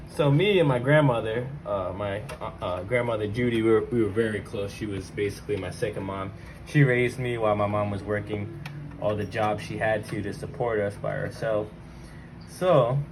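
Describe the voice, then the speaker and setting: A man's voice: medium pitch, background noise. A man hosting his own online video, chatting informally and slangily straight to viewers as he explains things and tells stories. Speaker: YouTube vlogger and channel host. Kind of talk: casual hands-on tech tutorial with personal storytelling.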